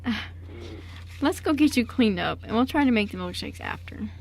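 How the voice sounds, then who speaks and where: A woman's voice: a little high, lively intonation, normal speed. A young woman playing a caring, concerned girlfriend in her early twenties in an amateur radio play. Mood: soothing.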